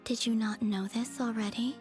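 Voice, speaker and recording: A woman voicing a serene, frail, otherworldly young moon goddess in a video game, soft, breathy and formal. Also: on the high side, steady tone, natural voice. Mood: solemn. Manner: formal, unhurried, solemn. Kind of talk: video game character dialogue. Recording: faint music behind the voice.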